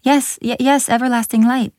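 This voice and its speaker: A woman's voice: medium pitch, normal speed, dark tone, no room sound, clean studio sound, close to an everyday voice. A woman voicing a curious, earnest young female inventor in a storybook, eager and formal in her speech.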